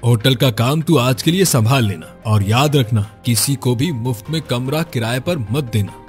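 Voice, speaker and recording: A haughty, grasping male innkeeper from a village, acted by a man. He talks coldly and dismissively in a mid-pitched voice, in a cartoon moral tale with faint music underneath. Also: normal speed, natural voice. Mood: bossy, harsh. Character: greedy, arrogant male village hotel owner, cold and dismissive. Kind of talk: cartoon moral story dialogue.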